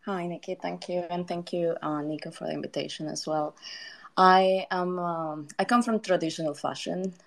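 A woman's voice: fairly low pitch, steady tone, moderate pace, gravelly. A woman from Colombia, a guest designer and teacher, talking chattily with laughs and fillers. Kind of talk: casual conversation.

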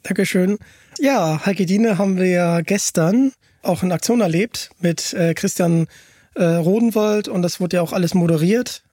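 A man's voice: high-pitched, moderate pace, dark tone, no room sound, studio quality. A man hosting a podcast, chatting casually and with enthusiasm. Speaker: podcast host.

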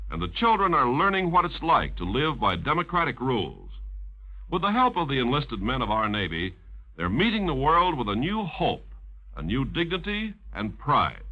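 A dull, vintage radio recording carries an American man announcing from a script. His mid-pitched voice moves through lively rises and falls in 1950s broadcast style.

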